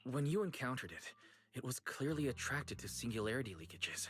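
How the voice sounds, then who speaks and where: An actor voicing a calm, earnest young male investigator in a video game, pondering and explaining things deliberately. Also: mid-range voice, soft background music, lightly acted. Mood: serious, explaining.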